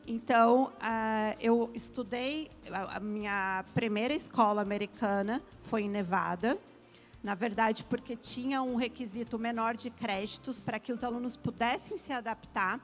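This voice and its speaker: Uma mulher brasileira de meia-idade, especialista em educação internacional, explicando com calma e muitos "né" numa entrevista. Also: female voice, a little high, normal speed, soft background music. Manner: explains at length, frequent "né" tags. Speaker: international education specialist, guest. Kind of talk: explanatory interview talk.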